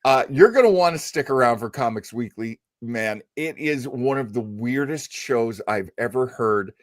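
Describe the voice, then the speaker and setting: Casual man hosting, with lively pitch swings. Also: no room sound, studio quality. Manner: rambling, halting delivery. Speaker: radio show host. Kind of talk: casual chat introducing old radio shows.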